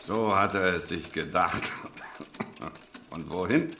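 Old tailor, grumpy and dreamy, bright forceful voice, theatrical radio play. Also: male voice, low-pitched, a little hiss or hum, full character voice. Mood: gruff, curt. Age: older. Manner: theatrical, slow, repeating himself. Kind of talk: German children's radio play acting.

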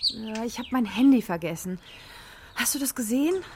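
A woman's voice: on the high side, lively intonation, moderate pace, darkish tone, a little hiss or hum. A woman playing a harried, swearing but affectionate mother in a colloquial, naturalistic radio play style.

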